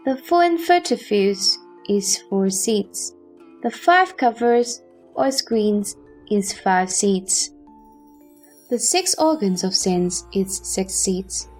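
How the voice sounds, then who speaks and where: A woman playing a wise bodhisattva teacher in a scripture audio drama, calmly and deliberately expounding doctrine. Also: mid-range voice. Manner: slow, deliberate, instructive. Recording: background music under the voice. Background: music.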